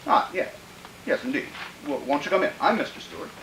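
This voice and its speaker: An actor playing a sinister, gleefully deranged killer who poses as a polite employer, fussing and repeating himself in old-time radio thriller style. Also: male voice, a little high, lively intonation, brisk, echoey room, heavy background noise, lightly acted. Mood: oily, wary. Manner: fussy, repetitive, muttering to himself.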